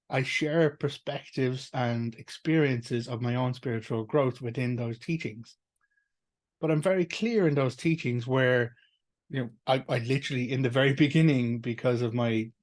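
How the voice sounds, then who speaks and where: An Irish man talks at length in a loose, unscripted way, circling back and restarting his sentences. His voice sits in an ordinary middle pitch. He sounds earnest and plain-spoken, with the odd swear word. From Ireland. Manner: rambling, earnest, with restarts and fillers. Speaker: teacher and school co-founder. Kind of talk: casual monologue.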